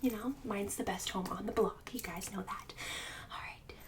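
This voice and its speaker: A woman's voice: mid-range voice, normal speed, distant mic, lightly acted. A woman playing a snobbish, bossy suburban book club hostess in an ASMR roleplay, condescending and catty with fake-sweet insults. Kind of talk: ASMR roleplay, whispered and soft-spoken.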